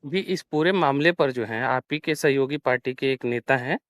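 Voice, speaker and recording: A man's voice: medium pitch, moderate pace, a bit bright, dry recording. A man, working as a news interviewer and putting political questions over a call.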